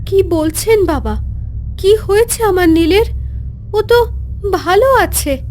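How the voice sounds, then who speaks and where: A woman's voice: very high-pitched, animated, takes it slowly, darkish tone, a little hiss or hum, heavily acted. A woman voicing an anxious, devoted mother and wife in an emotional cartoon drama. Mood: alarmed. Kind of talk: Bengali devotional horror cartoon voice acting.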